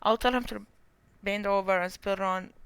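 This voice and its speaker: A woman's voice: mid-range voice, fairly even intonation, normal speed, clear, resonant tone, dry recording. A young woman in her twenties streaming a game, chatting casually and giggling while reading chat. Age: 20s.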